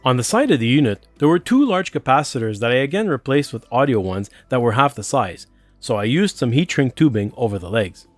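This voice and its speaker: A man's voice: medium pitch, very animated, brisk, dry recording. A man narrating a scripted repair voice-over as the host of a how-to series. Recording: dry close voice-over with music behind. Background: background music.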